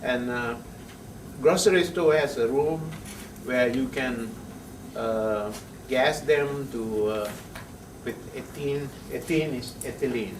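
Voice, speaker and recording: A male chemistry professor, lecturing a class in a halting way, with many pauses and frequent checks of "right?". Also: medium pitch, far from the mic, noisy background. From Sri Lanka. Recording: echoey classroom heard from afar.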